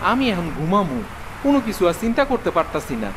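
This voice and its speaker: A man's voice: high voice, big pitch swings, fast talker, noisy background. A man playing a poor, weary village husband in a cartoon, speaking plaintive, humble rural Bangladeshi Bengali. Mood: weary, annoyed. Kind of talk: cartoon dialogue acting.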